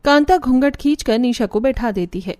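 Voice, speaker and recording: A woman's voice: high voice, normal speed, a little mellow. A woman narrating a scripted cartoon story as its storyteller.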